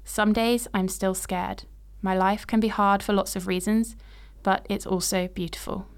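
A woman in her late twenties reads her own story in the present tense, with a smooth, clear voice. Her telling is measured and reflective, and her pitch sits in the middle range. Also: level tone. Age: 20s. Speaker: podcast host. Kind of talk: reading a personal story aloud.

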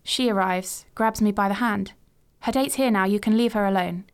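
A woman in her late twenties, from England, hosting a podcast and reading a personal story in a measured, reflective way. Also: medium pitch, clean tone. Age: 20s. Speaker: podcast host.

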